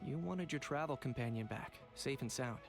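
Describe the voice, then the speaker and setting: A man voicing a composed, businesslike hired professional in a video game, measured and formal. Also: medium pitch, vocal fry, music in the background, close to an everyday voice.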